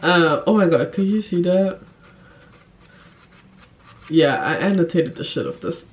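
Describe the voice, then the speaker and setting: A woman's voice: lowish voice, a little slow, lo-fi sound. A woman chatting casually to camera as a book vlogger, with an informal, giggly, effusive manner.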